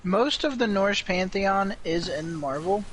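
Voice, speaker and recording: A man's voice: high-pitched, lively intonation, unhurried, fairly bright tone, a little hiss or hum, poor audio. A man co-hosting a casual podcast, laid-back and hesitant, reading out chat questions.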